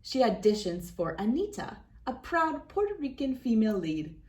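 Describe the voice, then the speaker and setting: A woman reading a children's story aloud as host, with dramatic, deliberate phrasing and clear emphasis. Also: a little high, sing-song, a little mellow. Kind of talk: expressive picture-book read-aloud.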